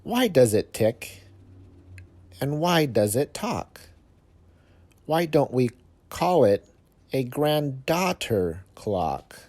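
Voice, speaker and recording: A man's voice: mid-range voice, big pitch swings, very slow, pauses often, a little mellow, exaggerated voice. A man voicing a curious little girl from a storybook, asking eager, emphatic questions.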